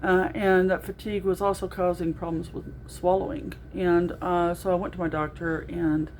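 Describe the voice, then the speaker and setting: Loud-voiced middle-aged woman, rambling vlog. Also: on the low side, flat delivery, moderate pace, a little hiss or hum, low-quality recording. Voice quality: naturally loud voice. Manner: rambling, with frequent ums and restarts. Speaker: vlogger. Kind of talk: casual video diary.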